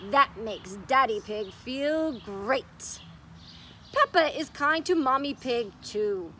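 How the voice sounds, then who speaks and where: A woman's voice: high-pitched, lively intonation, slow pace, sharp tone, slight background noise. A woman presenting a children's story time, reading aloud and giving simple, encouraging explanations. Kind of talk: children's storytime read-aloud and craft instruction.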